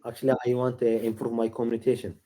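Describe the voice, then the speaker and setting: A young man from Iraq, practicing English on a video call, halting and stopping often to search for words. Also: medium pitch, level tone, warm tone. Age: late teens. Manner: halting, many uh fillers and restarts. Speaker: English learner. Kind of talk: casual video-call conversation.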